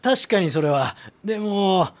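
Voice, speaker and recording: A man's voice: high-pitched, lively intonation, a little slow. An actor playing a deferential, hesitant male film crew member in a radio drama.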